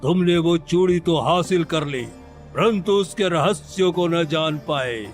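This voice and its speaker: A scheming cartoon snake villain, acted by a man, speaks very slowly and fairly high. He is deceitful and hungry for power, gloating with menace over a music bed. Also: lightly acted. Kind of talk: Hindi cartoon story voice acting.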